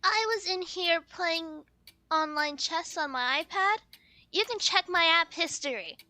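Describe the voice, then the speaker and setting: A woman's voice: extremely high pitch, measured pace, bright, forceful tone, heavily acted. A woman playing a plaintive, childlike fourteen-year-old girl, timid yet defiant, in a puppet-show murder mystery.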